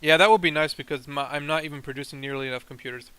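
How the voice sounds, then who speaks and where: A man's voice: fairly high pitch, fairly even intonation, very fast, pushed and bright, clear, resonant tone, dry recording. A young man chatting casually and teasing his friend while explaining their factory in a game. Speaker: co-host playing a factory game. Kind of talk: casual chat over gameplay.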